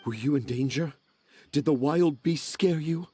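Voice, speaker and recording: Flamboyant high-voiced storyteller man. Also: a little slow, warm tone, breathy edge, no echo. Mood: relieved, grateful. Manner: theatrical, pompous, florid. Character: flamboyant, dreamy storyteller father, grandiose and poetic. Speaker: children's author. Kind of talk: video game voice acting. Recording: music behind voice.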